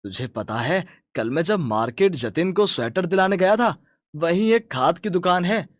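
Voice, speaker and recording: Man acting boastful, stubborn greedy village farmer, animated. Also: high-pitched, moderate pace, no echo, clean studio sound. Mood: proud. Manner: dismissive, boastful, colloquial rustic talk. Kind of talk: Hindi cartoon moral story voice acting.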